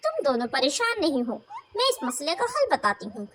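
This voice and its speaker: Calm, wise female fox voiced by a woman. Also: high voice, normal speed, a little hiss or hum, heavily acted. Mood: calm, advising. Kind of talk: animated children's fable dialogue.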